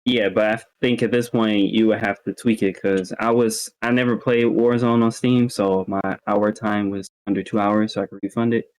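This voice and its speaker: A man with a slightly low voice speaks as a podcast co-host. He lays out his opinions on games in a measured, conversational way, keeping it informal. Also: level tone, darkish tone, clear, resonant tone, poor audio. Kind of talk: casual video game discussion.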